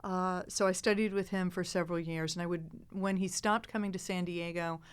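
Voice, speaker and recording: A middle-aged woman with a smooth, clear voice, chatting casually on a panel and explaining things emphatically. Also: on the low side, steady tone, fairly quick. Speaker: improv performer and podcast actor. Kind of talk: casual panel chat, telling anecdotes.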